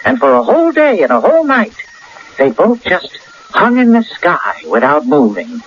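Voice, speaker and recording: A dull, lo-fi radio play recording of an anxious everyman with strange powers. His voice sits fairly high and swings up and down a lot. He is folksy and hesitant, tripping over his words. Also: wildly swooping pitch, normal speed, a bit bright, heavily acted. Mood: brooding, plotting. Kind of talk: radio drama acting. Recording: dull vintage radio broadcast, faint noise.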